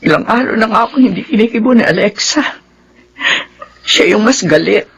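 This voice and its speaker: Husky, pleading widowed mother. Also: mid-range voice, lively intonation, normal speed. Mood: apologetic, reassuring. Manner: pleading, earnest. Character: gentle, troubled widowed mother in love. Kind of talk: Filipino radio drama acting.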